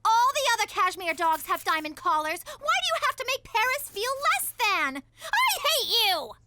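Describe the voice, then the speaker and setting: Spoiled bratty teen daughter, super high squeaky voice, bouncy. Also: female voice, big pitch swings, moderate pace, background noise. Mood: whiny. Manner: whiny and melodramatic. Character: spoiled, whiny teenage daughter.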